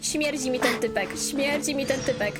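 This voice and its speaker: Polish woman let's player, high-pitched, informal self-directed chatter, game music clearly audible behind. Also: brisk. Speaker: gaming YouTuber. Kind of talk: casual let's play commentary.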